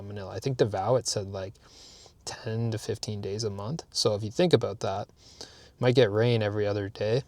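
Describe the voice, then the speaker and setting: A man's voice: fairly low pitch, vocal fry. A man in his thirties from Canada, chatting candidly in a vlog recorded in his parked car, rambling with plenty of fillers and restarts. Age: 30s. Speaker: vlogger. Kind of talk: casual talking-head vlog.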